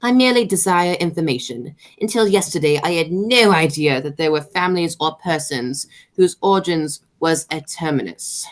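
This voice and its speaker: A woman's voice: sits low, moderate pace, hardly any pauses, lightly acted. A woman playing a haughty, stern aristocratic mother and aunt in an amateur radio play, declaiming with snobbish authority.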